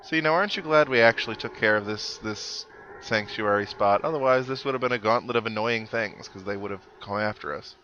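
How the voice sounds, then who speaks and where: A man's voice: lowish voice, a little fast, bright, forceful tone, highs cut off, slight background noise. A man giving casual, dryly joking commentary as he plays a video game and reads its text aloud. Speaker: host of a video game playthrough. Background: video game music.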